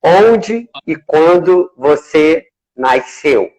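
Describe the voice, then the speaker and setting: A man's voice: fairly high pitch, animated, very slow, darkish tone, low-quality recording. A man hosting a casual live chat, enthusiastic and full of hesitant restarts. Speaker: host.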